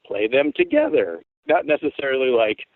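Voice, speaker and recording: A man in his forties from Tacoma, Washington, with a rough, worn voice, telling stories in a rambling, laid-back way as a guest on an interview show. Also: a little high, wildly swooping pitch, normal speed, no room sound. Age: 40s. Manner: rambling, laid-back storytelling with frequent swearing. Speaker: guest musician. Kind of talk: casual interview chat. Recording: tinny phone-like line, dry.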